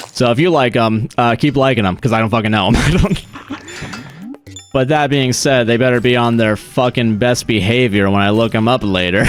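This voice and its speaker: Profane, laughing male game streamer. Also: mid-range voice. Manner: profane, laughs often, chatty asides to chat. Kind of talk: casual live-stream chat while gaming.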